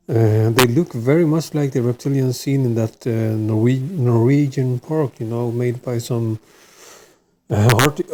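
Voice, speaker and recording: A man from Sweden speaks in Swedish-accented English as he hosts his own video channel. His talk is loose and unscripted, full of pauses and "uh"s, and he restarts sentences as he goes. His voice sits at an ordinary middle pitch for a man. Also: dark tone. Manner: halting, many filler sounds and restarts. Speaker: channel host. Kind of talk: casual monologue to camera.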